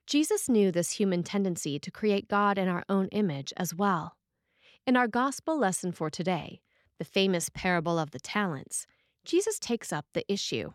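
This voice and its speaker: A woman reads a scripted sermon as a priest, phrasing it in a measured, deliberate way with pauses. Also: mid-range voice, animated, dry recording, clean studio sound. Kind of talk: scripted sermon, read aloud.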